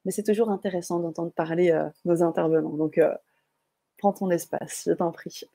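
Animatrice à la voix grave et rocailleuse. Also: female voice, lowish voice, steady tone, normal speed, creaky, warm tone, no echo. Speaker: host. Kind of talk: live webinar hosting.

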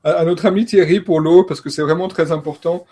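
Man, coach and trainer, somewhat high voice, halting delivery. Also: steady tone, mellow. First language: French. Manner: hesitant, many fillers and restarts. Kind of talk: panel talk on learning.

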